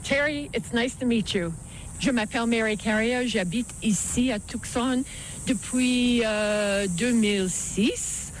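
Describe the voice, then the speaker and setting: Older Canadian woman, slow-spoken. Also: on the high side. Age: 60s or older. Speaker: local guide, interview guest. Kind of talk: casual conversational introduction. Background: faint noise.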